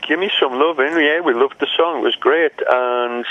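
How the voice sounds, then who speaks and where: A man's voice: mid-range voice, moderate pace. An older man telling stories in a casual interview, often mimicking other people and laughing. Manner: lively storyteller who mimics others' voices and laughs often. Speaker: rock musician interviewee. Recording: dull lo-fi audio.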